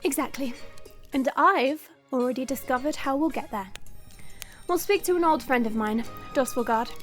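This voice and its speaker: A woman's voice: sits high, moderate pace, lightly acted. A woman playing a haughty dragon princess in human form, speaking formally and imperiously in an audio drama. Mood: businesslike. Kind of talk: audio roleplay drama acting. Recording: music under the voice. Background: background music.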